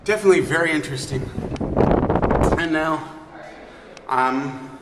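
A man with a slightly high voice gives casual, chuckling travel narration while climbing. The sound is very echoey, with long reverb off a narrow stairwell and clear background noise from his handheld camera. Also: a little slow. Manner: chatty, good-humored, chuckling; exerting himself climbing stairs while talking. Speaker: amateur vlogger filming a sightseeing climb. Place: inside a narrow brick lighthouse stairwell. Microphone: handheld camera held by the speaker.

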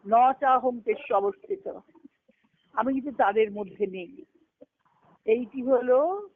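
A woman giving a devotional lecture on scripture over a conference call, teaching by repeating key phrases and answering her own questions. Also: sits high. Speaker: spiritual discourse speaker. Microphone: conference call phone line. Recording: tinny narrowband conference phone line.

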